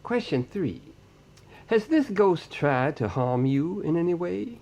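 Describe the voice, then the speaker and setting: An actor playing a short, polite hillbilly ghost hunter's assistant in an audio drama, folksy and deferential. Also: male voice, a little high, big pitch swings, takes it slowly, darkish tone, exaggerated voice. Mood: polite, businesslike questioning. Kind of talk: audio drama character dialogue.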